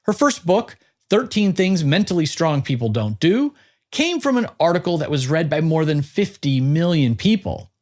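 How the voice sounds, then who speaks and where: A man's voice: on the high side, very animated, normal speed. A man hosting an interview podcast, presenting in an upbeat, emphatic and punchy way.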